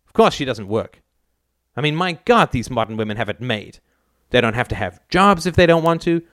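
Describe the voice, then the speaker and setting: A man's voice: medium pitch, sing-song, a little fast, a bit bright, no echo, clean studio sound. A man reading aloud and voicing a blunt, crude, domineering older mother who lectures her son with scorn. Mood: lecturing.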